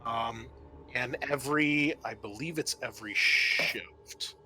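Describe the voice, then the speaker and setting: A man's voice: medium pitch, takes it slowly, sharp tone. A man acting as game master, explaining a scenario and thinking aloud as he recalls the rules.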